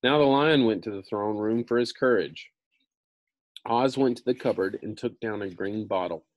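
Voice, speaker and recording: A man in his thirties reads a storybook aloud in a fairly low voice. He is casual and explanatory, repeating himself as he goes. The recording is dry and close. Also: moderate pace, no room sound, low-quality recording. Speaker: reader of a children's book. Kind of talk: read-aloud storytelling with informal explanatory asides.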